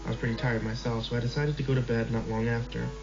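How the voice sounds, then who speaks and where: A man telling a horror story in measured first-person narration, over a slightly dull recording with background music. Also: mid-range voice, little pitch movement. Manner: measured, slow in tense passages.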